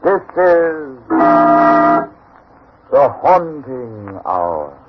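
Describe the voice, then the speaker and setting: An actor playing a solemn, ominous narrator of eerie tales, with dramatic, measured 1940s radio suspense delivery. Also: male voice, mid-range voice, big pitch swings, very noisy. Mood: commanding, mysterious. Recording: narrow-band old radio broadcast.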